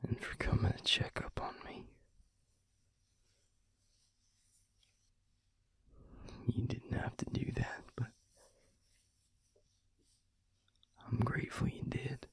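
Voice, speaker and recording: A man playing a tender, weary vampire lover in an intimate audio roleplay, speaking haltingly in a hushed, breathy, gravelly voice. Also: natural voice. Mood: gentle, concerned. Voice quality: breathy, husky, gravelly half-whisper. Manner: hushed, halting, with long pauses.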